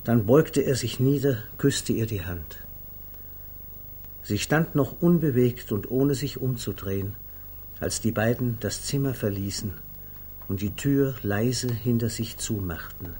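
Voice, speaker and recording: A man playing the calm, measured literary narrator of a 1950s German radio play. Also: medium pitch. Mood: calm, reflective. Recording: vintage 1950s radio recording, clear background noise.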